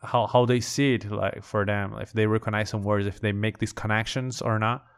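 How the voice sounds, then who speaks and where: A man's voice: lowish voice, steady tone, normal speed, smooth. Man with a Brazilian Portuguese accent. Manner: conversational, thinking aloud. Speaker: podcast host. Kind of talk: casual language-learning podcast monologue.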